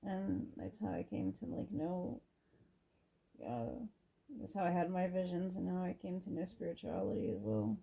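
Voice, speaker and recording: A woman talks casually in a dark, relaxed voice full of heavy creak. It has a husky edge. She is opinionated and emphatic as she speaks with her partner. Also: fairly low pitch, moderate pace, poor audio. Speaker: vlogger and mother. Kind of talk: casual religious chat with partner.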